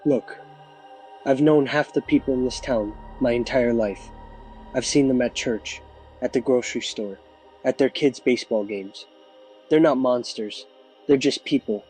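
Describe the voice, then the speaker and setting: A man's voice: medium pitch, unhurried, darkish tone, lightly acted, low-quality recording. A man playing a weary small-town sheriff in an audio drama news interview, firm and emphatic. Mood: weary, insistent. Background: music.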